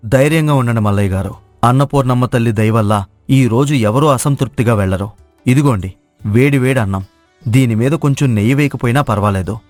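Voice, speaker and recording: A man's voice: medium pitch, a little fast, darkish tone, dry recording, faint music behind, lightly acted. A man playing a humble, honest village cook who speaks politely and confidently in a moral story. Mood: calm, assured. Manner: polite, assured. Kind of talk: acted moral story dialogue.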